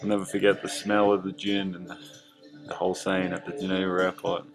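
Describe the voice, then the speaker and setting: An Australian man in his young adult years talks in a low, gravelly voice with a fairly flat delivery. He tells his story in a relaxed, rambling way, full of little fillers and restarts. Faint music plays underneath. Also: brisk, low-quality recording. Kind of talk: casual narration over drawings.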